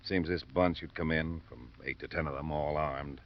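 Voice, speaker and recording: A tired grumbling cop, really deep voice, sardonic, old radio drama, dull hissy recording. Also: male voice, fairly even intonation, normal speed. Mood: weary, explaining. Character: harried, grumbling male police robbery lieutenant. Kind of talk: 1950s radio drama acting.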